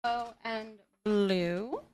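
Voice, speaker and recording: A woman hosting a casual livestream, chatting with viewers in a gentle, affectionate way and often repeating her phrases softly. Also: fairly high pitch, drawn-out words. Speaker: livestream host. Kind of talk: casual livestream chat, thanking viewers and reading their messages aloud.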